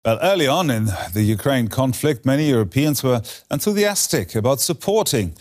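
A man presenting a broadcast news report with even, formal delivery. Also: medium pitch, moderate pace. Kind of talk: scripted broadcast news reading.

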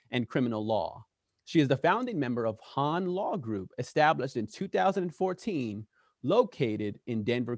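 A man's voice: medium pitch, dry recording. Enthusiastic male podcast host, dry sound. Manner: enthusiastic, repeats words when excited. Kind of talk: podcast interview.

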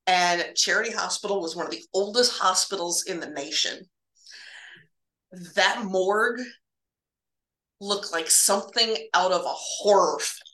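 Woman guest, bright voice, casual halting storyteller of eerie hospital tales, echoey room sound. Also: medium pitch. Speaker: guest telling stories of working in hospital laboratories.

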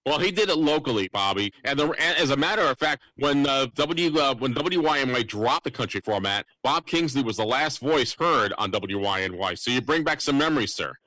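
A man's voice: mid-range voice, expressive, fairly quick, hardly any pauses, bright, forceful tone, no room sound, poor audio. A man hosting a casual radio talk show, chatting informally.

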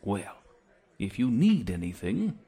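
Casting: ceremonious robot host for sci-fi radio drama, slow and expressive. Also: male voice, fairly low pitch, exaggerated voice. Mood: formal welcome. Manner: formal, archaic, scripted greetings. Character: courteous robot innkeeper guide at fantasy resort. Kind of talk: sci-fi audio drama acting.

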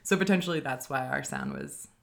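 A woman's voice: very deep voice, huge pitch swings, a little fast, creaky, a bit bright, a little hiss or hum. A woman co-hosting a podcast in casual chat, explaining things plainly with fillers and light laughs. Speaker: podcast co-host.

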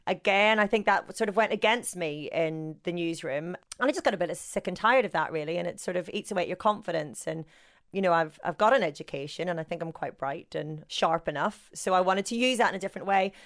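A woman's voice: lowish voice, brisk. A woman with a Northern Irish accent, a sports journalist talking as an interview guest in a gregarious, self-deprecating way. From Northern Ireland. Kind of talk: casual interview chat.

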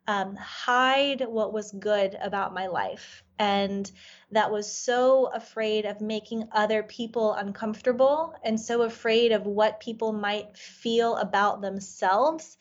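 A woman's voice: medium pitch, muffled recording. A woman whose first language is Farsi, a life coach telling a personal story in an earnest, reflective way with many "you know" fillers. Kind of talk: storytelling in a podcast interview.

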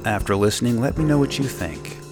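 A man hosting a comics interview show, reading a measured introduction and asking questions. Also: mid-range voice, music in the background. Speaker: podcast host.

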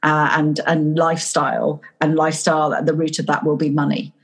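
A woman from Yorkshire, a coach and guest, talking in an animated storytelling way in a casual interview. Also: sits low, fairly quick, husky. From Yorkshire, England.

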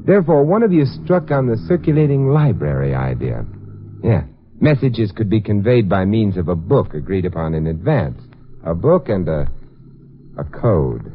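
An actor playing a suave, sardonic detective in a 1950s radio mystery, wry, unhurried and self-assured. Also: male voice, fairly low pitch, very animated. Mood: probing, assured. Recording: tinny, noisy old radio recording.

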